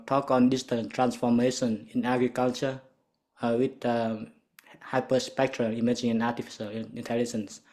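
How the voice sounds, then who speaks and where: A man hosting a webinar, introducing speakers with many fillers and pauses. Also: medium pitch, a little mellow. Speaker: webinar host.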